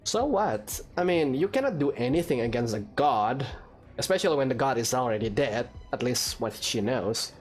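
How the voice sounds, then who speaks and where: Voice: medium pitch, lively intonation, normal speed. A gamer giving casual, chatty gameplay commentary, reacting aloud to the game. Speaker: gamer, let's player. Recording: clear game music behind voice.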